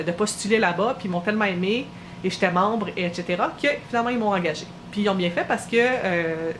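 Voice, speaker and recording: A woman's voice: mid-range voice, a little hiss or hum. A woman in her thirties with a Québécois French accent, chatting casually to camera in a vlog, with frequent fillers. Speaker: vlogger.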